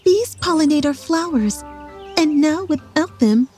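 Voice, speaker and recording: A woman voicing a gentle, worried friendly monster in a children's storybook. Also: high-pitched, expressive, a little slow, soft background music, pitched above the performer's own voice. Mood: worried, sad.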